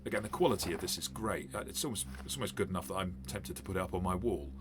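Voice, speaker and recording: A man with a very low voice gives a rambling, casual unboxing commentary, full of fillers and restarts. He talks as a game master looking over a set. Steady hiss and noise sit under the recording. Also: very fast.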